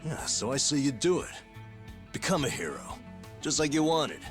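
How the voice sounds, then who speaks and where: A man's voice: fairly high pitch, big pitch swings, normal speed. An actor playing a laid-back, teasing middle-aged man in a game cutscene with music behind. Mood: encouraging. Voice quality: easygoing, teasing, laughs easily. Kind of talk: video game cutscene dialogue.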